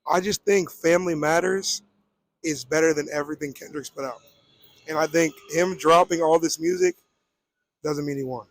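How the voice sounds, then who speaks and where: A man from Connecticut with an American accent, answering a street interview outdoors in a relaxed, easygoing way. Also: a little high, moderate pace, no echo, poor audio. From Connecticut, USA. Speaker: interviewee, visitor.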